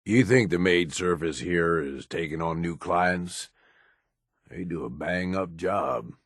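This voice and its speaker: A deep, close and dry male voice for a video game: a gruff middle-aged raider who serves as the boss's right hand. He talks bluntly and pragmatically, worn down by years of experience, in loose, profane slang. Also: takes it slowly, no echo. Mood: wry. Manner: blunt, world-weary, drawling slang and profanity. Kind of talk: video game character dialogue.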